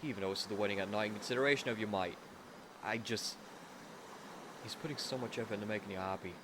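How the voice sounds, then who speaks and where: A man voicing a gruff, blunt but loyal working-class fellow who talks colloquially in an audio roleplay. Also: fairly low pitch, fast, noisy background, lightly acted. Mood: blunt, earnest.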